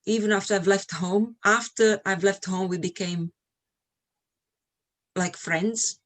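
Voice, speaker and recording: A woman's voice: mid-range voice, fairly even intonation, slow pace. A middle-aged woman from Slovakia speaking English with a Slovak accent, chatting as an interview guest about her own life. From Slovakia, Eastern Europe. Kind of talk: casual conversational interview, personal recollections.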